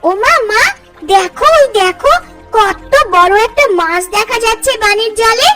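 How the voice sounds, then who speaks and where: A woman playing a wide-eyed, excited village child in a cartoon fairy tale, full of exclamations. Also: very high voice, a little slow, loud music behind the voice. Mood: excited wonder.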